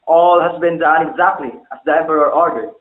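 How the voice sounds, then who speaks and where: Man acting an anxious father, high-pitched, echoey. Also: fairly even intonation, fairly quick, close to an everyday voice. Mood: grave, urgent. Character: anxious, stern father, a master bell-maker, dutiful. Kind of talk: amateur stage-play dialogue, formal archaic English. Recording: muffled, phone-like, echoey room.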